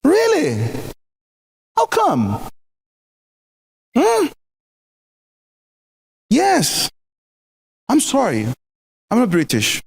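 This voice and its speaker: High-voiced Brazilian-accented man, emphatic. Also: huge pitch swings, drawn-out words, vocal fry, long pauses, breathy edge, in a large hall. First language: Portuguese. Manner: emphatic, repetitive, rhetorical questions. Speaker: speaker giving marriage advice. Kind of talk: recorded motivational talk.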